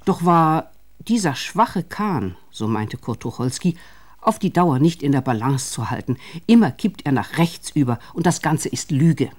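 A man reading a scripted radio documentary in a measured, formal manner. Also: a little high, lively intonation, darkish tone. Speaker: narrator of a radio feature.

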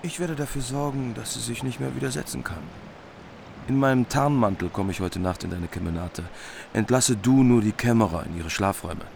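An actor playing a bold, self-assured hero, demanding and resolute, in a literary radio drama. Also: male voice, mid-range voice, a little fast, vocal fry, lightly acted. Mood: resolute, conspiratorial. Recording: loud background noise.

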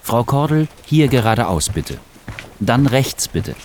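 A polite male hotel receptionist played by a man in a language-course dialogue. He speaks slowly and courteously with lively intonation, over faint background noise. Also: medium pitch. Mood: polite, guiding. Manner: courteous, clearly enunciated.

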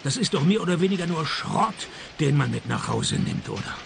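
Knarziger, trockener Privatdetektiv. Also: male voice, on the high side, fairly quick, vocal fry, noisy background, heavily acted. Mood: dry questioning. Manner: dry, sardonic, deadpan. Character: wry, deadpan private detective narrating his own cases. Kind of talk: radio play detective narration and dialogue.

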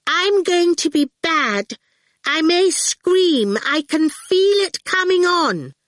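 A woman's voice: extremely high pitch, expressive, slow pace, a bit bright, dry recording, clean studio sound, voice pushed up, full character voice. A woman voicing a rude, defiant young girl in an audiobook, blunt and insistent. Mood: threatening tantrum. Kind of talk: audiobook character voice.

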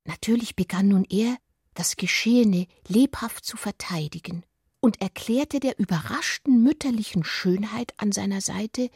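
Breathy woman narrating literature. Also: mid-range voice, unhurried, darkish tone, dry recording, clean studio sound. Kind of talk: literary scripted narration for a radio drama.

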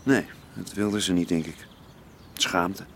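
An actor playing the son of a criminal pub owner in a naturalistic radio drama, talking in a hesitant, reflective way. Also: male voice, low voice, fairly even intonation, slow pace, gravelly, fairly bright tone, a little hiss or hum, heavily acted. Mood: wistful, confiding.

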